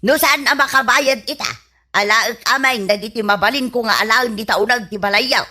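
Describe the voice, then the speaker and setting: A woman plays a blunt, demanding older Ilocano neighbour in a radio comedy. Her voice is high-pitched and piercingly bright, and she scolds with stubborn insistence. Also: moderate pace. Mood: stern, demanding.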